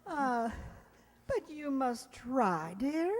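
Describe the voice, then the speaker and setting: A genteel, bossy sweetheart, acted by a woman in an old radio comedy. Her voice is high and very animated, fluttery and coquettish, with long hall reverb around it. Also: drawn-out words, exaggerated voice. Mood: gently scolding. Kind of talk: 1940s radio comedy acting.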